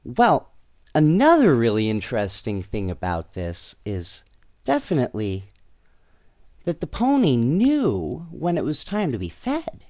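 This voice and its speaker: A man reading children's stories aloud in an expressive, playful way, with casual asides to young listeners. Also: mid-range voice, a little slow, no echo, studio quality. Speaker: storyteller.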